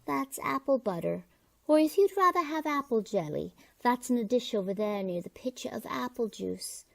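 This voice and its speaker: Lady doing a kindly fussy landlady for a radio play, croaky and highish. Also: expressive, normal speed. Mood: motherly fussing. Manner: folksy, fussing over her lodger. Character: kindly, motherly, chatty landlady. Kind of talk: old-time radio comedy acting.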